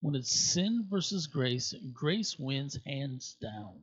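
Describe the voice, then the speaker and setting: A man with a husky voice reads scripture expressively, leaning on key words and pausing for effect. His pitch sits in a middle range. The sound is dry and slightly dull, as if the top end were rolled off. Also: big pitch swings, dry recording. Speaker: scripture reader at a church.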